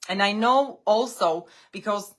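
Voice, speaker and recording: A woman coaching English learners on a live stream. English is her second language, and she speaks deliberately in short phrases with pauses. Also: mid-range voice, a bit bright. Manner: non-native English speaker.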